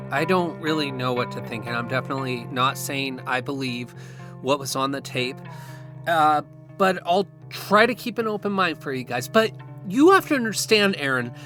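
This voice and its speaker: Animated actor as sceptical, frank male friend. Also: a little high. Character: sceptical, frustrated male friend trying to mediate. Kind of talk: audio drama dialogue. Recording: guitar music behind the voice.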